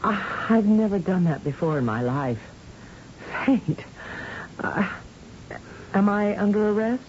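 A woman's voice: mid-range voice, expressive, slow pace, long pauses, darkish tone. An actress playing a distraught woman scientist and loyal wife, stammering emotionally in an old-time radio drama. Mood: nervous, evasive. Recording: muffled, noisy old radio recording.

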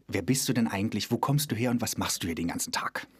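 A man hosting a podcast in a relaxed, joking manner, interviewing a colleague. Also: fairly low pitch, fast, husky. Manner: playful, lively, jokes with the guest. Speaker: podcast host, actor and author at a children's theater.

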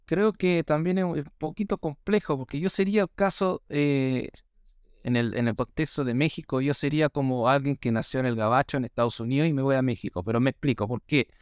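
A man's voice: medium pitch, fairly quick, smooth, dry recording, clean studio sound. Australian-born man hosting a podcast. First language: English. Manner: lively storytelling with fillers. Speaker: podcast host. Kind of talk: casual chat.

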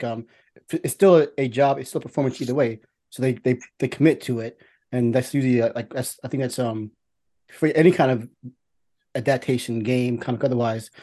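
Fast-talking man in casual film chat. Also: medium pitch, warm tone. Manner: rambling, repetitive, slangy. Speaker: podcast host.

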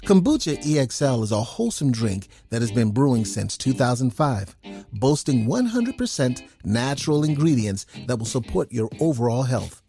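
A man reading a scripted commercial in a smooth promotional manner. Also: medium pitch, mellow. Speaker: ad announcer.

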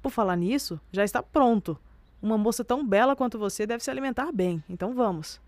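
A woman's voice: on the high side, a little fast, no echo, close to an everyday voice. A woman playing a solicitous, motherly Brazilian companion to a young lady in an audio drama. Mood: fussing, fond. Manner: solicitous, affectionate. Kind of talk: audio drama acting of a period novel.

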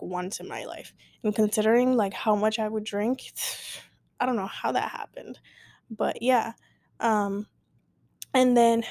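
A woman's voice: on the high side, darkish tone, no room sound. A woman in her twenties hosting a casual solo podcast, rambling with many fillers and self-interruptions. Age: 20s. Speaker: podcast host. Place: at home, late at night.

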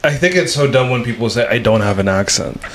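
A man's voice: mid-range voice, animated, fast talker, slight background noise. A man chatting casually as a guest comedian, explaining his views earnestly with many restarts. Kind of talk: casual chat.

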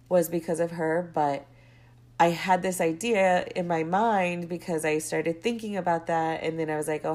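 A young woman with a low-pitched, congested voice hosts her own podcast. She talks casually and rambles, drifting into side stories before circling back to her point. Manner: rambling, goes off on tangents. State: stuffy, congested with a cold. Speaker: podcast host. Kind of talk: casual solo podcast monologue.

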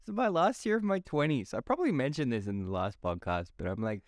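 A man's voice: mid-range voice, animated, fast talker, no echo, clean studio sound. A man in his twenties hosting a solo motivational podcast. He talks in a casual, chatty, blunt way and swears freely. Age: 20s. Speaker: podcast host.

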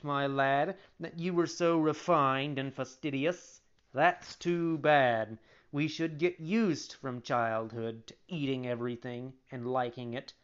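A reader voicing a patient, kindly old father in a storybook, mildly and slowly admonishing a child. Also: mid-range voice, clear, resonant tone, dull audio. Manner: slow, mild, fatherly admonishing.

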